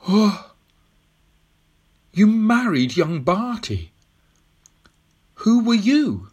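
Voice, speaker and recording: Storybook boy, played by a narrator: young, earnest, politely stubborn, puzzled, lively pitch. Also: normal speed, husky. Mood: bewildered. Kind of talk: audiobook character voice.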